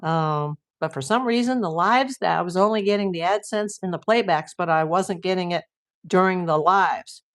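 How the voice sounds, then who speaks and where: A woman's voice: lowish voice, moderate pace. Woman host losing her voice. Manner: chatty, reads viewer comments aloud. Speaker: livestream host. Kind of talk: casual livestream chat reading comments.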